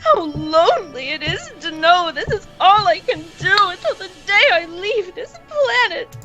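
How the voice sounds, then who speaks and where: A woman's voice: extremely high pitch, big pitch swings, pushed and bright, faint music behind, full character voice, rough recording. A woman playing a haughty, sinister and lonely alien noblewoman in a narrated story, speaking in a formal, theatrical, self-pitying manner. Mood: anguished, resolute. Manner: slow, theatrical, formal speech. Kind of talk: dramatic character acting in narrated story.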